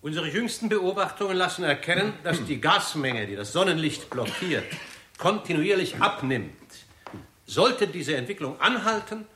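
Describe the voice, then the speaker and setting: An actor playing a formal scientist reporting findings in a declamatory way in a vintage radio play. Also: male voice, fairly high pitch, very animated, moderate pace. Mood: formal, announcing. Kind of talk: 1960s German radio play acting. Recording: echoey, slightly noisy vintage radio recording.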